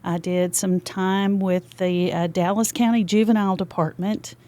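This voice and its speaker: A woman's voice: on the low side, fairly even intonation, normal speed, mellow. An older woman with a Texas accent, chatting freely as a podcast guest in a studio. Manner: chatty and talkative. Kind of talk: casual interview chat.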